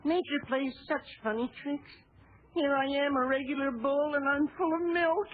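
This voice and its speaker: Doleful, timid postman, high prim voice, flowery delivery, tinny old radio sound. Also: normal speed. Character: doleful, timid male postman with silly airs. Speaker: comic supporting actor. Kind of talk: 1940s radio comedy acting. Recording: tinny, noisy 1940s radio recording.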